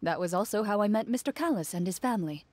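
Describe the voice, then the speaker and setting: A woman's voice: medium pitch, animated, quick pace, no room sound, close to an everyday voice. An actress playing a composed young female duelist who recounts her strict upbringing in a matter-of-fact way. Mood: calm, dry. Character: calm young female duelist recalling a harsh childhood. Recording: game audio with soft music.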